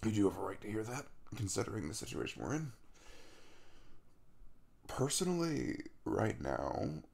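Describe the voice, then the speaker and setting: A young man playing an easygoing, caring crush in an intimate ASMR roleplay, rambling casually with a jokey, reassuring manner and a gravelly voice. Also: fairly low pitch, huge pitch swings, moderate pace, a little mellow, full character voice. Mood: gentle, hesitant. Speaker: audio roleplay performer.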